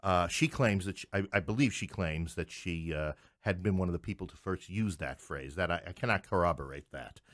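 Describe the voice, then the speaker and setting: Middle-aged man from Queens, lowish pitch, dry sound, chatty interview guest who digresses and jokes wryly. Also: a little fast, few pauses, a bit bright, dry recording. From Queens, New York City. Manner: talkative, digressive, wryly joking. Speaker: interview guest, playwright and English professor. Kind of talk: anecdotal interview conversation.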